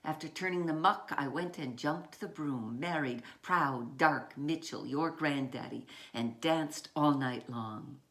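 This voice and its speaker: Woman acting out old storybook grandmother, proud, defiant, folksy, emphatic, slightly low voice, slow pace.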